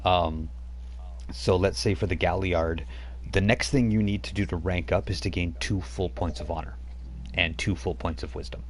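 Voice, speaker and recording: A man's voice: sits low, steady tone, moderate pace, a little hiss or hum. A man running a tabletop role-playing game, narrating scenes and patiently explaining rules. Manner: explains rules patiently, stops to check notes. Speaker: game master. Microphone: streaming mic.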